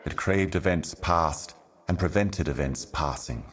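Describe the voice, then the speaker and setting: An actor playing an ominous, ancient otherworldly herald in a video game, speaking in solemn, cryptic, deliberate monologue. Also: male voice, low-pitched, a bit bright. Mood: ominous, brooding. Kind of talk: scripted video game voice acting, slow monologue.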